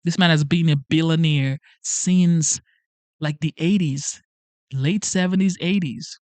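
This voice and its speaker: A man's voice: a little high, takes it slowly, a little mellow, no room sound. Emphatic man's dry pep talk. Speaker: channel host. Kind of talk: motivational livestream talk to camera. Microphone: facing a camera.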